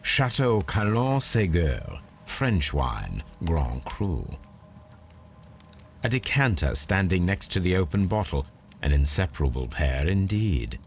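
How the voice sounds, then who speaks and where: Victorian English detective, game. Low register with expressive swings, composed, exact, quietly deductive. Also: male voice, soft background music, heavily acted. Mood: musing. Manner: deliberate, precise, musing. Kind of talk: video game voice acting.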